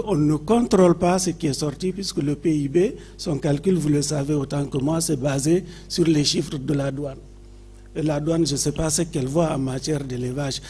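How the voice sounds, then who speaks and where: A man from Niger with a Nigerien accent, speaking off the cuff as an adviser at a seminar, phrasing his points deliberately with frequent pauses. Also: on the high side, dark tone, breathy edge. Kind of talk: seminar intervention, unscripted public speaking.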